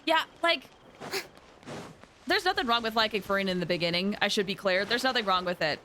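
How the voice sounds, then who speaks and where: Bright-voiced woman streaming a game. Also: mid-range voice, brisk, a little hiss or hum. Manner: animated, rambling, reacting to viewer messages. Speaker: game livestreamer. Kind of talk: casual livestream chat.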